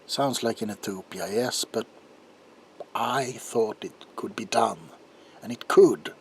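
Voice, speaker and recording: A man playing a troubled, desperate doctor in an ASMR roleplay monologue, speaking haltingly in a hushed voice that often drops toward a whisper. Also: fairly low pitch. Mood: earnest, intense. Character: troubled doctor protesting innocence, desperate and haunted. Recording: audible background noise.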